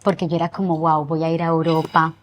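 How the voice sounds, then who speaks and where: A young woman from Colombia with a Colombian accent softened by years in Spain, recalling her life earnestly in an interview and slowing down to stress words. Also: low voice, level tone. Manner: reflective, earnest, often slows down to stress words. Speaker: guest. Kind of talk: personal interview.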